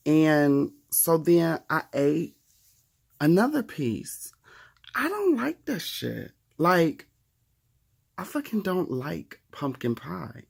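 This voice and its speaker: A man's voice: fairly high pitch, sing-song, slow, gravelly, breathy edge. A man in his thirties chatting casually to the camera in a wry, profane, chatty way. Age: 30s. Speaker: vlogger.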